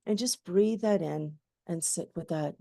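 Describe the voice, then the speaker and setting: A woman leading a guided meditation in a soothing, gentle voice with lulling phrases. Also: on the low side, unhurried, vocal fry, no echo, clean studio sound.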